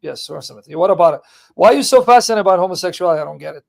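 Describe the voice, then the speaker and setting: A man's voice: sits high, animated, very fast, gravelly, darkish tone. A man hosting a debate, brash and mocking, firing questions at a caller. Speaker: host. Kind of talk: combative debate talk.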